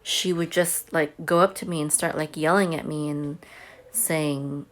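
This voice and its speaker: A woman's voice: low voice, steady tone, a little slow. A homeschooling mother telling a personal story to camera in a chatty, earnest way. She says she has a big voice.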